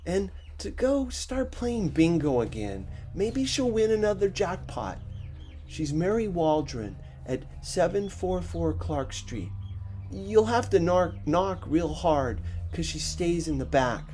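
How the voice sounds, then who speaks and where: A man voicing a gentle little boy ghost in an audiobook story, earnest, childlike and slightly halting. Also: sits high, big pitch swings, faint music behind, pitched above the performer's own voice, heavily acted. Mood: tender, earnest.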